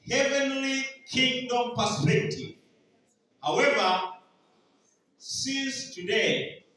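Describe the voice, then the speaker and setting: A man's voice: high voice, bright, forceful tone. A Ugandan man preaching a sermon, often asking the congregation to finish his sentences in a call-and-response style. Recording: echoey hall, voice from far off.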